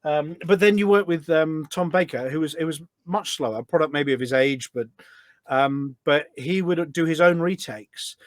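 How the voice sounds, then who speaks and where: Higher-voiced man chatting enthusiastically, dry sound. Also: normal speed, no room sound. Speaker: podcast guest, voice pack producer. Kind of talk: casual interview chat, telling anecdotes.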